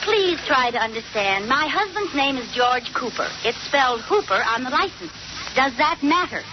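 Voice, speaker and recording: High-voiced, flustered American sitcom wife. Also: female voice, very animated, moderate pace. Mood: exasperated. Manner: theatrical, exasperated. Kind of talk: 1940s radio comedy acting. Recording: muffled, noisy old radio recording.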